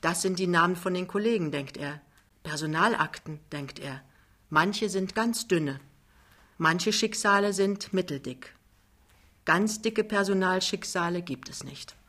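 Female narrator for literary fiction, slightly low voice, scripted storytelling read. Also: measured pace, a bit bright. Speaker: narrator, reader.

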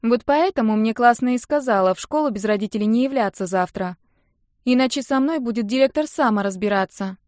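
A female narrator puts on a scrappy schoolgirl for an audiobook. She is cheeky and whiny, drawling bratty slang in a raised, high voice, captured in a dry recording. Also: darkish tone, no echo, natural voice. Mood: pleading. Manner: bratty, drawn-out slangy complaining.

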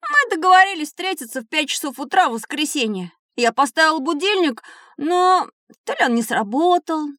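A woman's voice: high-pitched, normal speed, dry recording, studio quality, full character voice. A woman playing an eager, boastful schoolboy telling stories in a scripted educational audio drama. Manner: animated storytelling.

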